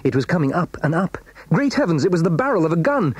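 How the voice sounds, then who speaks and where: A man narrating a children's story in a hushed, suspenseful, dramatic way. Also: fairly high pitch, sing-song, fast, breathy edge, no echo.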